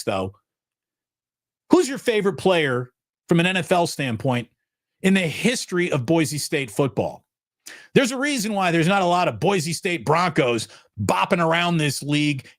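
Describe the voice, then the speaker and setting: A man's voice: fairly high pitch, dry recording, clean studio sound. A man co-hosting a sports talk show, opinionated and punchy, weighing players and cautioning against hasty conclusions. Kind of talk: sports analysis chat.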